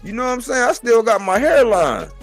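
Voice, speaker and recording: A man's voice: extremely high pitch, sing-song, fairly quick, soft background music. A man in his forties talking casually as a sports commentator on a live stream, in colloquial language and repeating phrases for emphasis.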